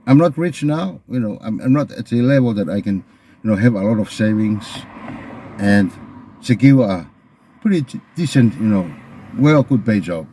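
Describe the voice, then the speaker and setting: A middle-aged man with a Tongan accent speaking in an earnest, halting, reflective unscripted monologue as a video host, often repeating phrases. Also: on the low side, animated, dark tone, a little hiss or hum.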